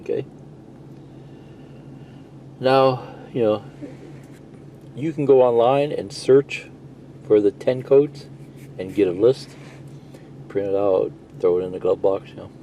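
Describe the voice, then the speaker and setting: A man's voice: on the low side, slow pace, long pauses, warm tone, a little hiss or hum. A middle-aged man giving a casual, rambling, plain-spoken hands-on walkthrough of a gadget, explaining as he goes. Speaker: CB radio video presenter.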